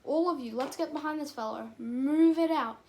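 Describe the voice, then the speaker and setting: A gung-ho male bee squad leader with a high voice, played by a woman reader. He rallies in a chanting, commanding way, like a character in a storybook adventure. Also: normal speed, dark tone. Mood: rallying.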